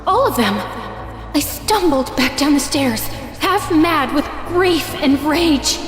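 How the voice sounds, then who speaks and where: A woman's voice: high voice, lively intonation, slow. A woman playing a passionate, wronged young stepsister, furious and heartbroken, telling her story dramatically. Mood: tense, sorrowful. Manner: intense, emotional delivery. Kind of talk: dramatic first-person story narration. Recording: reverberant voice over prominent music.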